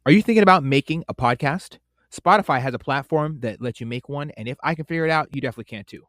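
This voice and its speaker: A man's voice: fairly high pitch, quick pace, a bit bright, no echo, studio quality. A man hosting a podcast, reading an upbeat intro and ad before interviewing his guest with emphatic presenter energy. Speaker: podcast host.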